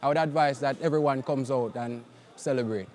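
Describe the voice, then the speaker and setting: A man's voice: medium pitch, fairly quick, a little mellow. A man with a Jamaican accent co-hosting a live outdoor event, laid-back and a little unsure on air. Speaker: co-host. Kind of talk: live event broadcast chat.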